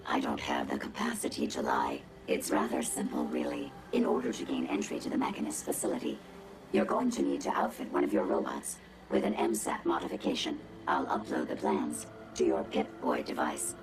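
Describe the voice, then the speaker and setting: Very low-pitched, haughty robot woman. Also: animated, normal speed, creaky, music in the background, heavily acted. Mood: condescending. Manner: sardonic, pompous, precise, wordy. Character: haughty, condescending female robot with murderous cold logic. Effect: robotic voice filter. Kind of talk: video game cutscene acting.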